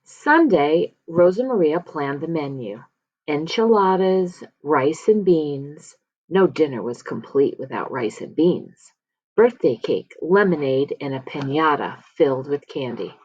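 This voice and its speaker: A woman reading a children's picture book aloud as a storyteller, expressively, with dramatic pauses and emphasis. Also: sits low, animated, husky. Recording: slightly dull, lo-fi close recording.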